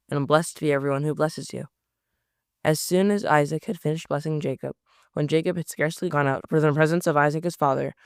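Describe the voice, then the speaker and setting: A man reading scripture aloud at a steady pace, often stumbling over words and correcting himself. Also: on the high side, vocal fry, smooth, no room sound, clean studio sound. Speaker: Bible reader.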